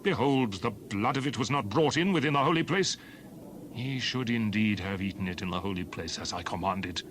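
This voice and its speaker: A man's voice: lowish voice, normal speed, fairly bright tone, slight background noise, lightly acted. An actor playing a stern male Hebrew leader in a dramatized scripture reading, solemn and declamatory. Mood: stern reproach.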